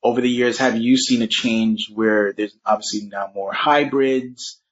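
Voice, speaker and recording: A man's voice: medium pitch, steady tone, a little slow, background noise. A man interviewing a guest, asking short, easygoing questions. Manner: brief, easygoing prompts. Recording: dull lo-fi sound.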